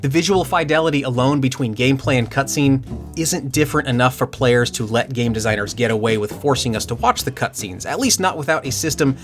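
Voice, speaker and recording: A man presenting a scripted video essay about game usability, with dry humor and excited asides. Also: mid-range voice, fairly quick, faint music behind. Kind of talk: scripted commentary with jokes.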